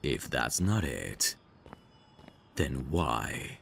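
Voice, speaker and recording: A man playing a dangerous, flirtatious mafia boss in an ASMR roleplay, smugly protective, with a teasing, self-assured, unhurried delivery. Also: very deep voice, big pitch swings, vocal fry, fairly bright tone, slight background noise, heavily acted. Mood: teasing, probing. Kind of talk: ASMR roleplay audio drama.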